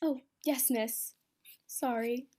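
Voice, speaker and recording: A woman's voice: high-pitched, fairly even intonation, takes it slowly, noisy background. A woman playing an anxious, earnest nurse in an amateur radio play. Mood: surprised. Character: anxious, pleading young female nurse under suspicion.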